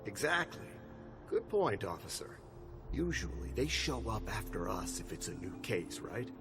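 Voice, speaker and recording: Veteran police chief in a game, weary but bossy, middle-aged, music going behind him. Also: male voice, lowish voice, sing-song. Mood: frank, resigned. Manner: authoritative, deliberate. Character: weary, seasoned male police captain, gruffly commanding. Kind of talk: video game cutscene voice acting. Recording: music under the voice.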